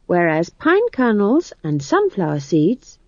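A woman's voice: mid-range voice, wildly swooping pitch, measured pace, a little mellow, no room sound, lo-fi sound. Woman doing a bossy old witch for a storybook, cheery n blunt, voice goes up and down loads. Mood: lecturing. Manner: folksy, blunt, chatty. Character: brash, bossy, cheerful old witch posing as humble wood-gatherer.

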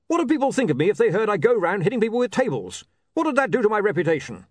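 A man voicing a brawny male barbarian who is touchy about his reputation, in a storybook reading, raising his voice loud and high. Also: big pitch swings, very fast, dry recording. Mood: aggrieved. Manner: loud blustering complaint.

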